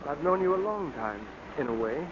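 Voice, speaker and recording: A shy, earnest young American man played by a radio actor speaks in a slightly high voice, hesitant and easily flustered. He has the innocent air of a 1940s radio drama lead. The recording is dull and faintly noisy. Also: animated, moderate pace, natural voice. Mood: shy, smitten. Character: shy, naive, earnest young male snake scientist.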